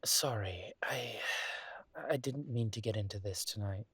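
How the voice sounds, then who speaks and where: A man playing a caring, weary werewolf detective boyfriend in an intimate roleplay audio drama, speaking in an earnest, gently coaxing, conversational way. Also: on the low side, unhurried, breathy edge, no room sound, full character voice. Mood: apologetic, weary.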